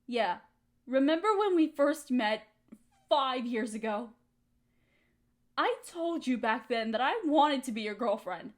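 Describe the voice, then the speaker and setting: A woman playing a hurt, resentful young woman confronting her roommate in an emotional, accusatory audio roleplay monologue. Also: high-pitched. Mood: hurt, bitter. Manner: emotional, accusatory, pointed rhetorical questions.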